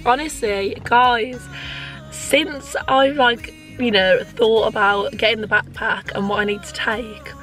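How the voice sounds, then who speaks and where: A woman in her twenties with a Birmingham accent and a hoarse, lost voice, chatting candidly to camera in a vlog from inside a car. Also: mid-range voice, a bit bright, poor audio. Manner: rambling, candid, frequent "like". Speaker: vlogger. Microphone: handheld camera close up.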